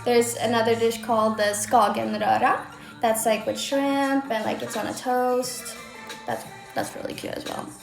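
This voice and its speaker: A woman's voice: fairly high pitch, steady tone, measured pace, creaky. A young woman from Sweden with a light Swedish accent, chatting casually on a livestream from her own room, answering comments with lots of "like" fillers. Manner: chatty, bubbly, many "like" fillers. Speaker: livestream host. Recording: roomy echo with faint music.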